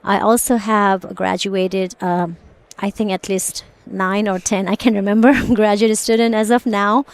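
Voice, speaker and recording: A woman's voice: medium pitch, little pitch movement, smooth, no room sound. A middle-aged woman, a professor talking casually in a podcast interview with many ums. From Nepal. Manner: many ums, quick bursts, friendly laughs. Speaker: associate professor, guest.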